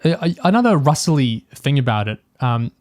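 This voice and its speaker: A man's voice: mid-range voice, sing-song, fairly quick, a little mellow, no echo, clean studio sound. A man in a casual review discussion as a podcast host, thinking aloud in a hesitant way.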